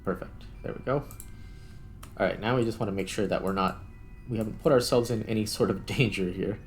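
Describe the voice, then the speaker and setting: Low-pitched man thinking aloud while gaming. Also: brisk, soft background music. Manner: tentative, self-questioning, narrating each step. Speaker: gaming video host. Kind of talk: casual gameplay commentary, thinking aloud.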